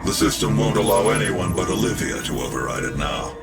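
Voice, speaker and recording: Cyborg science chief, very deep, stern, measured, authoritative, video game cutscene, loud music. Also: male voice, lively intonation. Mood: grave, instructive.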